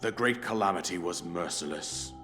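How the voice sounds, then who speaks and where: A man's voice: sits low, moderate pace, bright, forceful tone, faint music behind. An actor playing a solemn old king in a game cutscene, speaking in a grave, stately way with archaic phrasing. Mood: grave, sorrowful. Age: older. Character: solemn old king, last leader of a fallen kingdom. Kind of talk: dramatic game cutscene acting.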